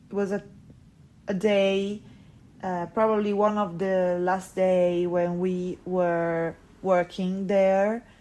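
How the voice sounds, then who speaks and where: Slow, husky-voiced woman. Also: a little mellow. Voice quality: low, husky morning voice. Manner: halting, full of fillers and restarts, frank and swearing. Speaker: podcast host. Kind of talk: casual personal monologue.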